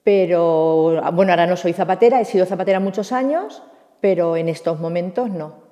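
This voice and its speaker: Mellow woman in her sixties recalling. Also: lowish voice, fairly quick, in a large hall, lo-fi sound. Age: 60s. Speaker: former shoemaker. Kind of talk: documentary interview testimony.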